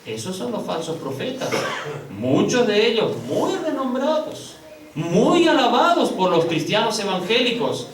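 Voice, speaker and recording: A male pastor preaching with sharp swings between soaring high stresses and quiet, low stretches. He draws words out slowly for weight and talks straight to his congregation as brothers. His voice rings through a reverberant hall, picked up from some distance. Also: sing-song, a little hiss or hum. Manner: addresses congregation as brothers, slow drawn-out stresses. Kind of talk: sermon. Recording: echoey room, picked up from a distance.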